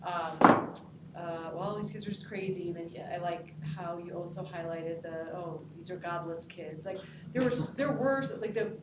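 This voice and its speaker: A woman giving feedback in a casual group discussion, speaking hesitantly and softening her opinions. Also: lowish voice, normal speed, dull audio. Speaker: participant giving script feedback. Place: indoor room at a table read, group around a table. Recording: distant mic in echoey room, noisy.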